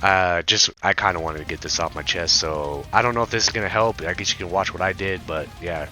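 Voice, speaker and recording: A man with a voice raspy from a cold, rambling in a frustrated gameplay commentary rant with many fillers. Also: deep voice, a little fast, sharp tone, soft background music. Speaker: gaming video creator.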